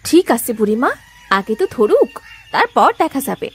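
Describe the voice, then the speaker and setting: A caring, sharp-tongued village housewife from a cartoon moral tale. Her voice is high and very lively in pitch. She delivers every line like expressive domestic drama. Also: moderate pace, husky, exaggerated voice. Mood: caring, friendly. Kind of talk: Bengali moral-story cartoon dubbing.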